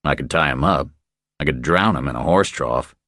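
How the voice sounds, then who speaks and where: Man playing a laconic tough guy, very deep. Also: normal speed, pushed and bright, no room sound, heavily acted. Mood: urgent. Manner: terse, dry, matter-of-fact. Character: laconic, tough, calm male drifter hero.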